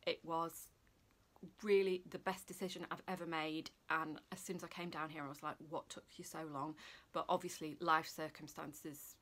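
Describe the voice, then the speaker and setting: Female vlogger, Yorkshire accent, slight lisp, a little low in pitch, informal talk to camera in a furnished room. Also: little pitch movement, moderate pace, a bit bright. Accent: Yorkshire English. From Sheffield, northern England. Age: 40s. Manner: chatty, informal. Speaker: sewing vlogger. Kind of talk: casual chat to camera. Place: small furnished room indoors.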